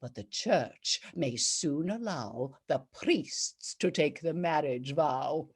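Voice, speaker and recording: A woman's voice: deep voice, animated, slow pace, husky, heavily acted. A woman playing a righteous female judge, declaiming comic rhyming verse theatrically and savouring each rhyme. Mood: confiding. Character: regal, righteous female judge of a bawdy court. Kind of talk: dramatic verse reading, rhyming comic poem.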